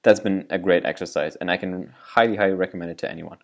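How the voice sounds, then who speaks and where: A man's voice: deep voice, fairly even intonation, fast, creaky. A young man whose first language is German, explaining a self-reflection exercise in a loose, rambling way with restarts. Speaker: video creator giving self-improvement advice. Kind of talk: casual explainer talking to camera.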